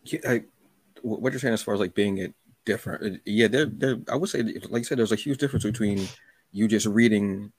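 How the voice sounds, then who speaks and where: Low-voiced man hosting, dry close sound. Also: fairly quick, husky, dry recording. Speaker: podcast host. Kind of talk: casual chat.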